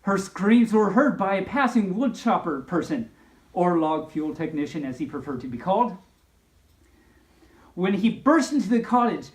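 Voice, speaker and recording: Animated woman storyteller in an echoey room. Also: mid-range voice. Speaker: storyteller, host of a story time series. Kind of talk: storybook read-aloud with spoken asides.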